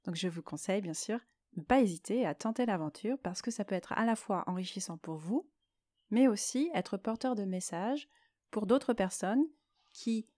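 A woman hosting a solo podcast, explaining her personal experience in a reflective monologue with frequent pauses mid-phrase. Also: medium pitch, dark tone, no room sound, studio quality. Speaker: podcast host.

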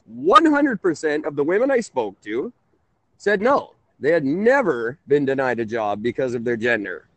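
A man hosting a conversational call-in radio show, halting and often interrupting himself. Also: on the high side, sing-song, no room sound, poor audio. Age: 30s.

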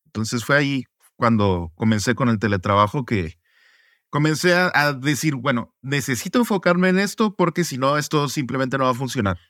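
A man from Mexico, a podcast guest, answering reflectively in a relaxed interview and often ending points with tag questions. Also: medium pitch, normal speed, dry recording, studio quality. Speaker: podcast guest, student advocate.

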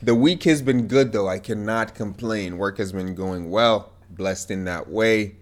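A man's voice: lowish voice, measured pace. A man co-hosting a podcast in casual chat, sounding congested and stuffed up, joking and teasing. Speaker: podcast co-host.

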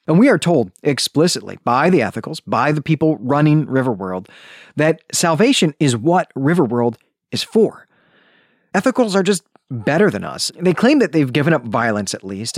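A man's voice: medium pitch, no echo, clean studio sound. A man hosting a podcast, talking through literary commentary like a conversational lecture, often rephrasing and qualifying his points. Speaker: podcast host.

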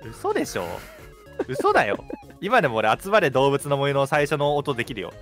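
A man's voice: medium pitch, very animated, normal speed, fairly bright tone, faint music behind. A young man co-hosting a radio show with casual, teasing banter. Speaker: radio co-host.

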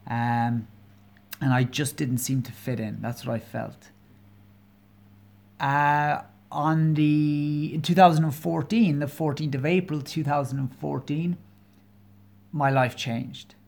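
A man of forty with a Kerry Irish accent, hosting his own podcast alone and talking in an earnest, halting way with many pauses and fillers. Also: a little high, steady tone, vocal fry, darkish tone. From Ireland. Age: 40s. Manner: candid, openly personal. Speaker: podcast host. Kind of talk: solo podcast monologue.

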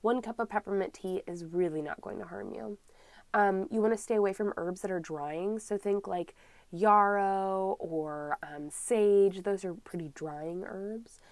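A woman's voice: medium pitch, unhurried, no echo. A young woman with a cold, slightly scratchy and congested, chatting casually as an herbalist podcast host with lots of ums. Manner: chatty, many ums, friendly explaining. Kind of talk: casual solo podcast talk.

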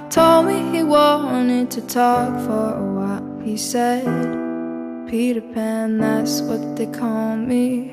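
A woman singing a gentle folk-pop ballad over guitar music. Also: on the high side. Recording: music under the voice.

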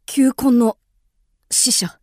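A woman's voice: fairly high pitch, steady tone, very slow, a little mellow. An actress playing a young noblewoman disguised as a devoted pageboy in a radio drama, polite, deferential and hesitant.

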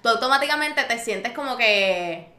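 Bright, high-voiced Puerto Rican woman. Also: animated, normal speed. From Puerto Rico. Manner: emphatic, sassy, mixing in English slang. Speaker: host of an episodic show. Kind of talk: casual opinionated commentary to an audience. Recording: roomy, echoey sound.

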